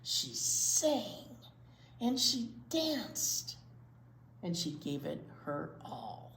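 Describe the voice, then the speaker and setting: A woman reading aloud as a children's storytime host, expressively, with drawn-out emphasis on key words. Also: mid-range voice, wildly swooping pitch, a bit bright, slight background noise. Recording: echoey room.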